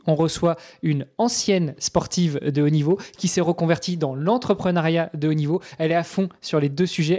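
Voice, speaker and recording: A man's voice: on the high side, darkish tone. A man hosting a podcast, talking in an informal, chatty way. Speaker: podcast host. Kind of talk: podcast intro narration and casual interview chat.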